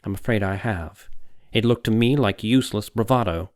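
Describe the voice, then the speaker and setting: A man voicing a young male doctor in an audiobook, sharp, skeptical and challenging. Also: fairly low pitch, moderate pace, close to an everyday voice. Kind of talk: audiobook character voice.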